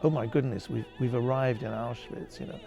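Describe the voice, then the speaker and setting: A man with a gravelly voice speaks reflectively in an interview, thoughtful and halting as he searches for his words. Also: medium pitch, over music.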